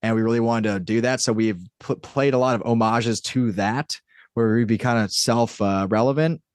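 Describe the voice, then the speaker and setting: A man's voice: medium pitch, moderate pace, darkish tone, clear, resonant tone, no echo, clean studio sound. A man in a casual interview, explaining things as a creator in a rambling way that often interrupts itself. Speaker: podcast guest, audio drama creator and writer.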